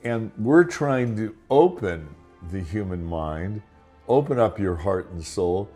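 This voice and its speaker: A man's voice: on the low side, lively intonation, slow pace, warm tone, soft background music. An older man from Los Angeles hosting a show, talking in a loose, rambling monologue full of restarts and asides. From Los Angeles, United States. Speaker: podcast host. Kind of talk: casual monologue.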